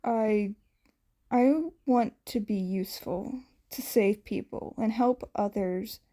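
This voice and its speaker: Earnest unsure schoolboy read by a woman, gentle mellow tone, storybook dialogue. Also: mid-range voice, slow, lightly acted. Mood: hesitant, earnest. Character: hesitant, earnest schoolboy longing to help.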